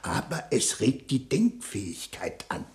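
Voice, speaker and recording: A swooping, creaky, weary police chief. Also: male voice, medium pitch, huge pitch swings, unhurried, darkish tone. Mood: weary grumbling. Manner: theatrical, drawling, grumbling. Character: world-weary, lazy, grumbling chief police inspector. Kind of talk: satirical radio crime play.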